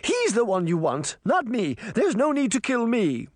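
A man's voice: very high voice, wildly swooping pitch, normal speed, dry recording, studio quality, pitched above the performer's own voice, full character voice. A man voicing a cruel, condescending queen who speaks with mock sweetness. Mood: pleading. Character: sinister queen, a cruel adult woman.

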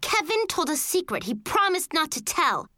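Woman playing an indignant, high-pitched bossy schoolgirl. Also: big pitch swings, moderate pace, no echo, clean studio sound, full character voice. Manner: yelling, accusing. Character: indignant, hot-tempered schoolgirl, bossy big sister.

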